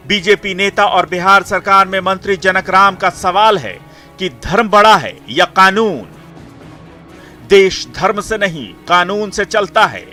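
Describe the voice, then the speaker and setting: A man's voice: high voice, bright, forceful tone. A man reading a scripted news report as the reporter.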